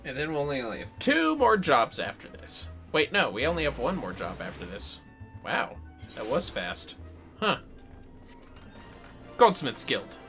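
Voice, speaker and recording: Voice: mid-range voice, wildly swooping pitch, measured pace, pauses often, sharp tone, faint music behind. A gamer giving casual livestream commentary in a playful, reactive, sweary way. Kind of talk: casual game-stream commentary.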